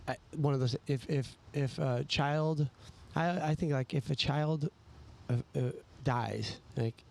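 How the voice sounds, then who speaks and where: A man hosting a casual interview, speaking in a halting, rambling way and often restarting his thoughts mid-sentence. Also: medium pitch, creaky, darkish tone. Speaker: podcast host.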